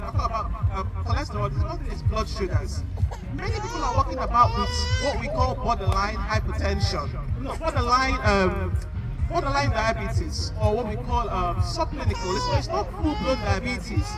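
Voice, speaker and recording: A woman's voice: on the high side, rough recording. A Nigerian woman, a native Igbo speaker, giving an informal health talk as a doctor in an emphatic, conversational way. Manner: emphatic, conversational, repeats words for stress. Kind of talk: informal public health talk to a community group.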